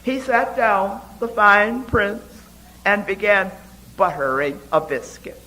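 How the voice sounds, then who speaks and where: A man giving a dramatic poetry reading, deliberate and expressive, with emphatic pauses. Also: high voice, rough recording.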